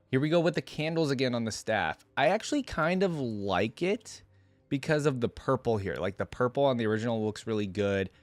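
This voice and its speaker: A man's voice: mid-range voice, no room sound. A man hosting a reaction video, giving his opinions in casual, conversational commentary.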